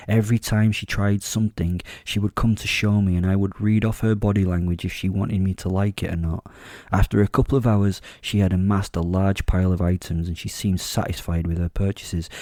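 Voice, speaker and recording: A man playing a suave, vain werewolf killer who narrates a horror audio drama in a measured, smug, darkly humorous way. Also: deep voice, mellow, dry recording, studio quality, lightly acted. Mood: amused, self-satisfied.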